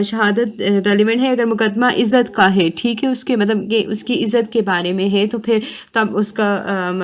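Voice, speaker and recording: Female online lecturer, medium pitch, informal explanatory delivery, reads out points then paraphrases with frequent check-in tags. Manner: explanatory, often checking understanding with short tags. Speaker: law lecturer, online teacher.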